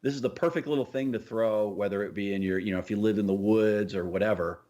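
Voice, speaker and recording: A man's voice: on the low side, quick pace, warm tone, dull audio. A man co-hosting a podcast, talking in a casual, informal conversational way. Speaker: podcast co-host.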